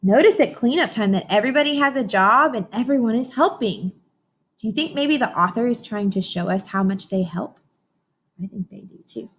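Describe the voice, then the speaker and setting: A woman teacher reading a picture book aloud to young children, expressive, often pausing to question her listeners directly. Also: fairly high pitch, a little hiss or hum. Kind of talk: informational read-aloud lesson for young children.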